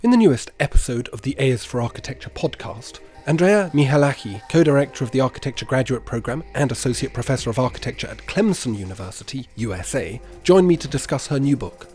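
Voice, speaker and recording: A man's voice: medium pitch, a little mellow. A man hosting a podcast, speaking in articulate, formal phrases as he introduces and interviews.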